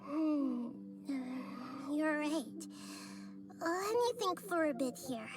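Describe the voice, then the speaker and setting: Gentle young goddess, soft, slow, careful, apologetic, high voice. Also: female voice, expressive, full character voice. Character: young-looking female god of wisdom, gentle and thoughtful. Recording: game audio with music behind.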